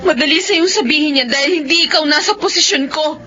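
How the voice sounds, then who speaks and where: An actress with a Filipino accent playing a bitter, wounded young doctor and daughter in an emotional radio drama. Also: female voice, high voice, quick pace, sharp tone. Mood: angry, tearful. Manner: emotional, melodramatic. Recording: muffled radio broadcast over music.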